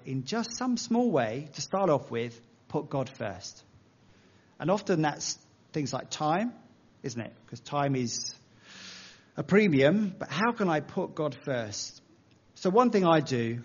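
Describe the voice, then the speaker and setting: A man's voice: mid-range voice, muffled recording. A man with an English accent preaching a sermon in a conversational, self-deprecating way, using everyday analogies.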